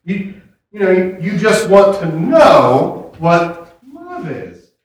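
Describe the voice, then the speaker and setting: A man's voice: sits high, wildly swooping pitch, takes it slowly. A man doing live comedy banter in old-time radio show style, teasing and full of puns. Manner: joking, pun-heavy, teasing. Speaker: podcast sketch performer. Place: live show in a comic book shop. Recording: roomy live venue, faint noise.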